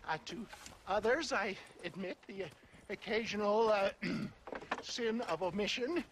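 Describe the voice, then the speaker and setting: A man's voice: high-pitched, takes it slowly, background noise. An actor playing a flamboyant, theatrical older con-man selling health tonics, with a chuckling, wheedling manner. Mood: theatrical scheming. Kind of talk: video game cutscene acting.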